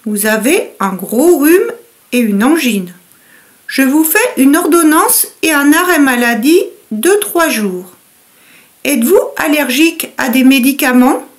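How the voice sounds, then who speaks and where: A woman playing a calm, measured doctor. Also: high-pitched. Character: calm female doctor examining a patient. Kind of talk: scripted dialogue for learners.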